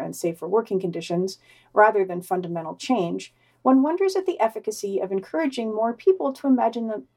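Woman, podcast co-host, news roundup, wry dry asides, mid-pitched voice. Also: a little mellow. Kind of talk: casual news roundup with read-out quotes.

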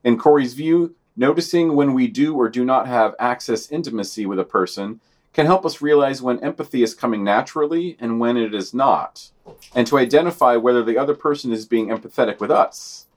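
A man narrates for a podcast in a medium-pitched voice. He reads from a prepared script in the manner of a written news roundup. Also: a little fast. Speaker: podcast reader.

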